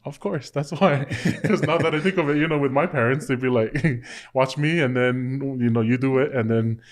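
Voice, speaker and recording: A man hosting a podcast, thinking out loud in a relaxed way and leaning on "you know" as he talks. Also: mid-range voice, moderate pace. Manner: reflective, fillers like "you know". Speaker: podcast host. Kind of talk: casual interview chat.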